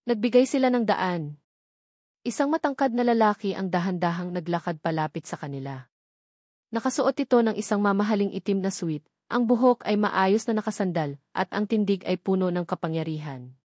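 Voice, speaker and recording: Female narrator for dramatic scripted fiction, medium pitch, very dry sound. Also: expressive, normal speed, a little mellow, no room sound. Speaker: story narrator.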